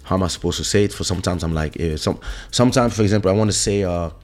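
A man from Congo hosting a podcast, talking casually in a relaxed, rambling way and often correcting himself. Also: sits low, racing, faint music behind. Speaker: podcast host. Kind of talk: casual monologue.

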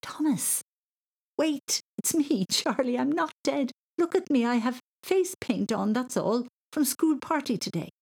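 A woman plays a storybook older girl who looks after a small boy. Her voice is husky with some creak, and she is kindly, playful and soothing, with a teasing streak. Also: deep voice, animated, unhurried, darkish tone, no room sound, full character voice. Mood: reassuring. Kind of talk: children's story reading.

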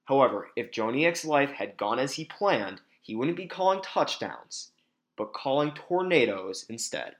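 A man's voice: medium pitch, expressive, unhurried. A man with an American accent reading scripted narration for a sports podcast feature.

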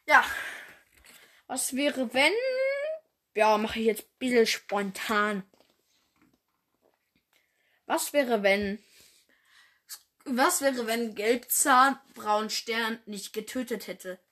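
A woman's voice: a little high, extremely slow, long pauses, pushed and bright. A young woman hosting her own fan podcast, rambling casually with lots of fillers and slang, her nose stuffed up from a cold. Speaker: podcast host.

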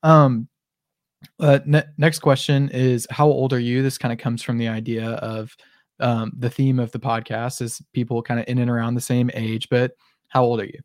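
Man, 20s, podcast host; mid-pitched, stammers into questions, dry sound. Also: little pitch movement, no echo. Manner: halting, repeats words, affirming. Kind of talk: casual interview chat.

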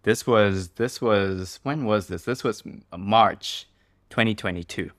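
A man hosts a casual podcast interview, drawing out long, searching questions. His voice is very deep and recorded close and dry. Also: measured pace, a bit bright, dry recording. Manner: asks rambling, searching questions. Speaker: podcast host.

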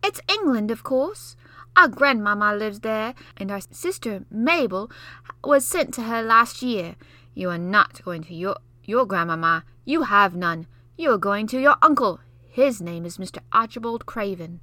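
A woman's voice: high voice, lively intonation, a little fast, hardly any pauses, pushed and bright, no echo, voice pushed up. A woman voicing a scornful seven-year-old boy who teases and mocks in a storybook reading. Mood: taunting. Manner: taunting, mocking.

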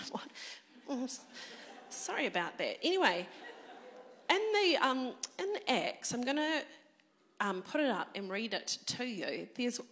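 A woman delivers a sermon, her voice a touch croaky from a cold. She sits fairly high for a woman and leans into the congregation with lively questions. Her voice rings with the long reverb of a large hall. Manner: animated, engaging the congregation. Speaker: preacher.

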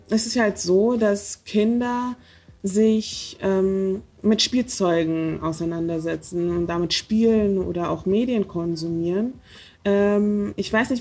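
Husky-voiced woman hosting a podcast. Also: mid-range voice, a little mellow. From Germany. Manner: hesitant, many fillers. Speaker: podcast host. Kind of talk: casual podcast interview.

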